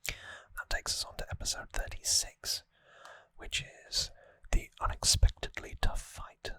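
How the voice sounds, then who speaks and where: A person whispering an ASMR recap in a hushed, breathy, conversational way, close to the microphone. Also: no room sound. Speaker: ASMR host. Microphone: close, dry microphone.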